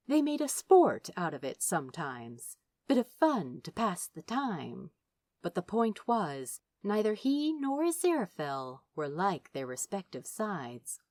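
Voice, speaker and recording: A woman reading fiction aloud as a narrator, dramatic and wry, with deliberate phrasing. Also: on the low side, no room sound. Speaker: audiobook-style narrator. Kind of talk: expressive scripted fiction narration.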